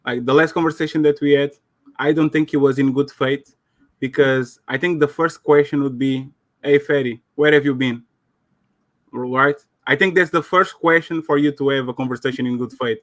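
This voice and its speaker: A man's voice: mid-range voice, steady tone, moderate pace, no room sound. A man from Portugal with a Portuguese accent, calling in and arguing insistently in broken English. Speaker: caller. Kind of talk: heated call-in debate.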